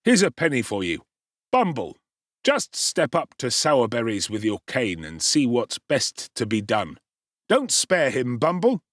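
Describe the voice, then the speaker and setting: A man doing a smug, harsh old gentleman. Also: mid-range voice, wildly swooping pitch, measured pace, dry recording, full character voice. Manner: pompous and condescending. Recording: dry close studio sound.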